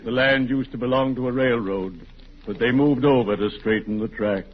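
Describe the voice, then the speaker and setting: An actor playing a gloomy, genteel undertaker with formal, savoring speech and a sepulchral tone, in 1940s radio comedy style. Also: male voice, medium pitch, natural voice. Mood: solemn delight. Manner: slow, formal, unctuous, savoring words. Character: gloomy genteel undertaker, morbidly cheerful, drawn-out funereal delivery. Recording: noisy muffled old-time radio recording.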